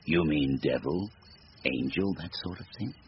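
Devilish supernatural bargainer, bottomless gravelly voice, theatrical taunting delivery, radio drama, muffled noisy audio. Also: male voice, very deep voice. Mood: cool, bargaining. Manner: deliberate, theatrical, taunting formality. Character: sinister supernatural stranger, silky, devilish bargainer, bored and mocking.

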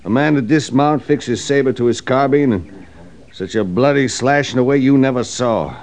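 An actor playing a talkative, brooding cavalry veteran in an old radio western, speaking in a folksy American drawl. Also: male voice, mid-range voice, moderate pace, a little mellow, close to an everyday voice. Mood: earnest, agitated. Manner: folksy drawling frontier soldier speech.

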